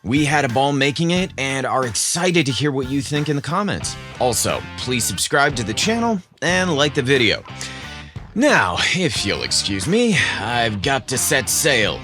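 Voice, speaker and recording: A man reading a scripted story as a podcast host, in a measured, deliberate manner. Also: mid-range voice, very animated, sharp tone, faint music behind.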